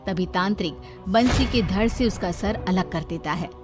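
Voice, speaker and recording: A woman's voice: medium pitch, moderate pace. A woman narrating a cartoon story from a script. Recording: faint music behind.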